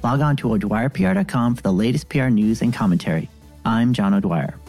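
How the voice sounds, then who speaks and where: A man with a dark, mellow, medium-pitched voice reads a scripted news roundup as presenter. Faint music plays behind him. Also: lively intonation, brisk. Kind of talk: scripted industry news reading.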